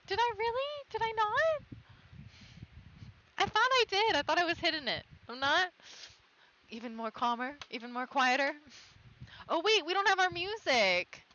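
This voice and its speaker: A woman's voice: very high-pitched, very animated, moderate pace, long pauses, sharp tone, lo-fi sound. A woman livestreamer reading a storybook tale aloud and chatting with her viewers in between. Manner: breaks off to talk with viewers.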